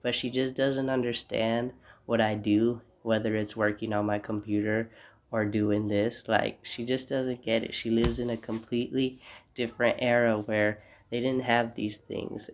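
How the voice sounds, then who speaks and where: A young man hosting a casual solo podcast, stuffy from a cold, talking in a rambling, reflective way. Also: on the low side. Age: young adult. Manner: rambling, reflective, apologetic asides. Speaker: podcast host. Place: home room.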